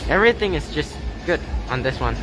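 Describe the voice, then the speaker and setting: A man's voice: a little high, very animated, a little fast, noisy background. A male student casually narrating a step-by-step craft tutorial.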